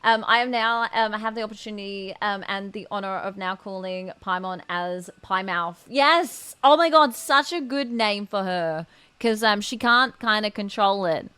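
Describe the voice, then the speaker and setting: A woman's voice: medium pitch, normal speed, pushed and bright, smooth, dry recording. A woman chatting casually as she hosts a game stream, greeting viewers affectionately.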